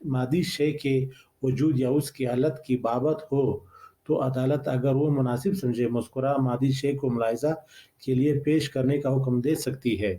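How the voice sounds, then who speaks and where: A man with a Pakistani accent reading legal text aloud as a lecturer, in a formal, deliberate manner with occasional stumbles. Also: mid-range voice, warm tone. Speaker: law lecturer.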